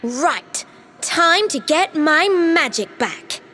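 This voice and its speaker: A woman's voice: high-pitched, big pitch swings, drawn-out words, sharp tone, slight background noise, heavily acted. A woman voicing a feisty, self-assured little girl sorceress in a video game, plucky and cheeky. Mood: determined. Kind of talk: video game cutscene acting.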